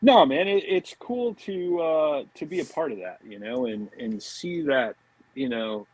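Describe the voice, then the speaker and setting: A man's voice: a little high, unhurried, slightly rough, no room sound, poor audio. An American man talking casually as an interview guest, rambling informally and often dropping in "you know" and "man". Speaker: podcast guest, fishing products marketing director.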